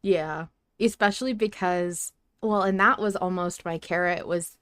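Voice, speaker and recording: A woman's voice: on the low side, steady tone, measured pace, a bit bright, clear, resonant tone, no room sound, clean studio sound. A woman co-hosting a podcast in a casual, emphatic fan discussion, swearing freely. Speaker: podcast co-host.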